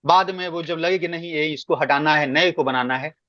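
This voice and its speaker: Man, emphatic meeting explainer, slightly high pitch, lo-fi dry conference audio. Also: moderate pace, bright, forceful tone, no room sound. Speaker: meeting speaker giving guidance. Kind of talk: explanatory work meeting talk. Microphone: online conference call. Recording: dull, lo-fi conference call.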